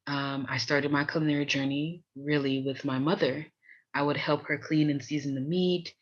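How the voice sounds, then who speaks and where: A woman's voice: deep voice, steady tone, normal speed. American woman storyteller, slightly dull recording. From Miami, USA. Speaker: podcast host. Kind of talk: personal storytelling introduction.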